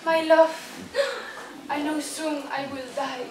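Gentle dying mother for amateur puppet theatre, played by a young woman, high-pitched, slow, in an echoey noisy room. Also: level tone. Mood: tender, solemn. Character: gentle dying mother tenderly comforting her young daughter. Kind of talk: amateur student puppet show. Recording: echoey room, distant mic, noisy background.

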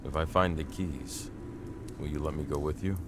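Very deep-voiced wary survivor. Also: male voice, steady tone, moderate pace, background noise. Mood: cautious, probing. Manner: questioning, earnest. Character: wary, inquisitive male survivor searching a dark town. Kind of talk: video game voice acting.

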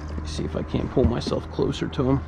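Relaxed guy mumbling through a kayak fishing trip, head cam, wind blowing loud on the mic. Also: medium pitch, fast talker, creaky. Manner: laid-back, muttering to himself between catches. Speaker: fishing vlogger. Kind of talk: casual on-the-water narration. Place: kayak on open water under a bridge, windy. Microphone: head-mounted action camera. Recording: wind noise on an outdoor camera mic.

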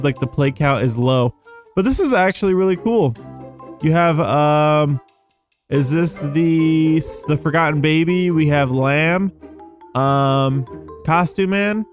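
Young male gaming host, higher-pitched, casual and easily impressed, rambling commentary with faint music behind. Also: expressive, measured pace. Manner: easily amazed, rambling, thinking aloud.

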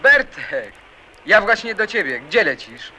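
A man's voice: high voice, sing-song, unhurried, sharp tone, full character voice, low-quality recording. A man playing an eager, naive teenage boy in a radio drama, questioning as he talks. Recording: faint city street noise.

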